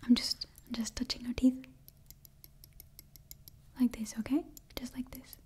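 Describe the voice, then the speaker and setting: A woman in her twenties whispering an ASMR roleplay close to the microphone, breathy and repeating her phrases. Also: a little high, little pitch movement, a little slow, darkish tone. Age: 20s. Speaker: ASMR performer playing a monster party door checker. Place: small indoor setup before a brick backdrop. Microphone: close microphone, face near camera.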